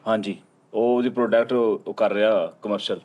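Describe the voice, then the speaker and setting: A man chatting casually in group banter as a panel member on a talk show, quick to interject. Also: lowish voice, steady tone, muffled recording.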